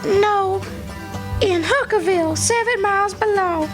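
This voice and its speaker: Very high-pitched child. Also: a little slow. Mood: nervous, meek. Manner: folksy Southern rural dialect, faltering. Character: boy Huck Finn posing as a meek little girl. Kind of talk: live radio play acting. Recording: stage radio play with music behind.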